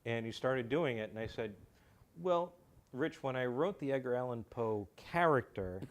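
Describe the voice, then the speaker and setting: A man chatting casually as an interview guest, courteous and halting, often repeating words as he goes. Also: medium pitch, expressive. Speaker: guest, radio show writer. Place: community television studio.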